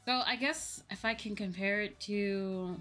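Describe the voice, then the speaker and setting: A woman's voice: medium pitch, a little slow, sharp tone. A young American woman livestreamer chatting casually with viewers in a rambling, informal way, often correcting herself. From United States. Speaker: livestreamer, virtual YouTuber. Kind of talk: casual chat with live viewers. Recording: music playing behind the voice. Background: background music.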